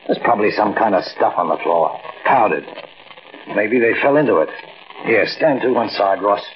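An actor with an American accent playing a brash, impatient gangster in a 1940s radio drama, bossy, slangy and dismissive. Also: male voice, fairly low pitch, normal speed, close to an everyday voice. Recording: dull, hissy old radio broadcast.

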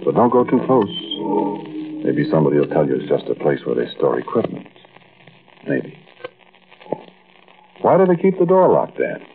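An actor playing a frightened, haunted man telling his own eerie story, intense and halting, in 1940s radio style. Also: extremely low pitch, wildly swooping pitch, full character voice. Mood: ominous warning. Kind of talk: 1940s radio acting, first-person suspense narration. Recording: muffled, noisy old radio recording.

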